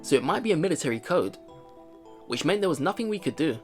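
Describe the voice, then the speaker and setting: Male let's player, animated and fairly high, reading story text and musing, game music behind. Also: fast. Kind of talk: reading a visual novel aloud with commentary. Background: visual novel game music.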